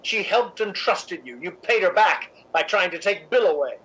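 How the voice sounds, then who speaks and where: A woman's voice: fairly low pitch, animated, moderate pace, a bit bright, breathy edge, rough recording. A woman playing a cold, cynical, domineering theatre columnist in a radio drama, speaking in an imperious, articulate way. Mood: cold, menacing. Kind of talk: 1950s radio drama acting.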